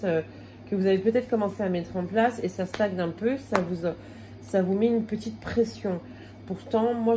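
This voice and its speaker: A woman's voice: mid-range voice, background noise. A woman with a slight cold giving a casual tarot reading to her viewers, talking in a hesitant way with many fillers and restarts. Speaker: tarot card reader.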